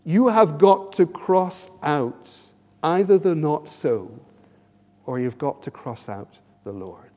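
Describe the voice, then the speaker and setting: A man's voice: fairly high pitch, animated, slow, dark tone. A man with an English accent preaching a sermon, earnest and full of rhetorical questions, often repeating words as he starts a phrase. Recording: reverberant hall.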